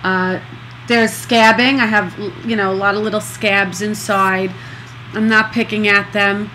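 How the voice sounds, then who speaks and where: A middle-aged woman in a casual video diary, talking slowly and earnestly with a congested nose and many pauses. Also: mid-range voice, level tone, bright, forceful tone, a little hiss or hum, low-quality recording. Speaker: vlogger recovering from nose surgery.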